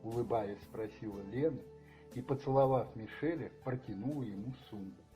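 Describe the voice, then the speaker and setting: A man narrating a radio play as scripted, measured storytelling. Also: medium pitch, warm tone, echoey room, distant mic, soft background music.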